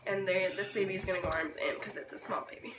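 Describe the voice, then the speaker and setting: Hoarse woman, roomy distant recording. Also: mid-range voice, steady tone, fast talker. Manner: informal step-by-step explaining. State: hoarse from being sick. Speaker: babywearing tutorial host. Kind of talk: casual hands-on tutorial. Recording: roomy, distant mic, noisy background.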